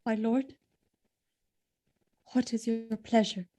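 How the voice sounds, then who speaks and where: Woman acting a high-pitched, slow, earnest young wife. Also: fairly even intonation, mellow. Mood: anxious deference. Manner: earnest, pleading, measured. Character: loyal, innocent, distressed young noble wife. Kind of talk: Shakespearean audio drama acting.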